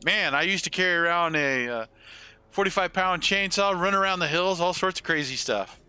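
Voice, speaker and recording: Clear, bright-voiced man in his forties streaming. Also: high voice, normal speed. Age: 40s. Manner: rambling, salty swearing. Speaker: game streamer. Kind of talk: casual live-stream chat with gameplay commentary.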